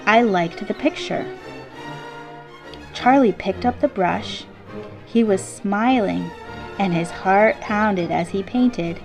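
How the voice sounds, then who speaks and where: A woman reading a children's picture book aloud expressively, with measured, deliberate phrasing and emphasis on key words. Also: medium pitch, creaky. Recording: classical symphony music playing behind. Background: orchestral classical music.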